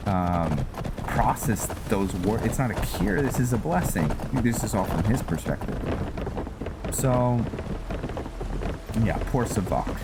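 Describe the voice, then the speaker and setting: A man giving casual gameplay commentary, thinking aloud in short, hesitant phrases. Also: lowish voice. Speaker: game streamer narrating a roleplay playthrough. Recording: loud game ambience and noise behind. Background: video game sounds, outdoor ambience.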